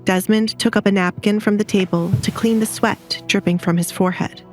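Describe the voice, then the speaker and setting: A woman's voice: mid-range voice, fairly even intonation, fairly quick, faint music behind. A woman narrator reading a scripted dramatic story.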